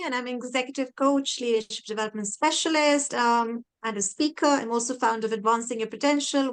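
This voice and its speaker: A woman from Pakistan, an executive coach, speaking earnestly on a panel over a video call. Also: a little high.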